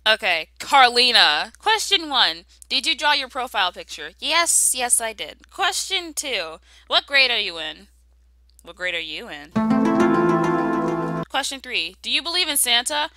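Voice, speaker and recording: Very bright, animated, sassy young-sounding woman. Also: on the high side, unhurried, faint music behind. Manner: sarcastic, slangy, exclamatory. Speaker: video creator answering viewer questions. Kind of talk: casual sassy Q and A commentary.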